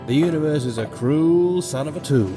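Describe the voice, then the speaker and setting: An actor playing a grumbling, sarcastic space delivery pilot in a comedy audio drama. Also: male voice, a little high, normal speed, music in the background, natural voice. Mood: incredulous, cynical. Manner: grumbling, sardonic, theatrical sighing.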